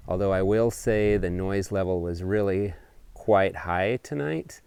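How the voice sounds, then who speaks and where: Guy doing a casual hobby vlog, really smooth clear voice, pretty deep, dry sound. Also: measured pace, darkish tone, no room sound. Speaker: amateur radio hobbyist presenting a video update. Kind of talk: casual explanatory vlog talk. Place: outdoors on a house deck.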